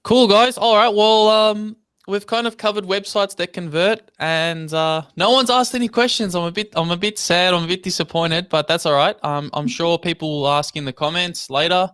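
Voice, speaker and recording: Guy hosting a live podcast, bright and crisp sounding, laid back, lots of ums. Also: high voice, normal speed, hardly any pauses, no room sound, clean studio sound. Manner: relaxed, with frequent fillers. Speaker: podcast host. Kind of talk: casual live podcast chat.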